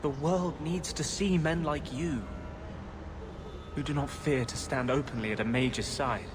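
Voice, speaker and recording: A man's voice: on the high side, measured pace, close to an everyday voice. Guy playing intense brooding rebel mage healer, earnest and grave, game ambience noise all behind him. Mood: grave, passionate. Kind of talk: video game voice acting.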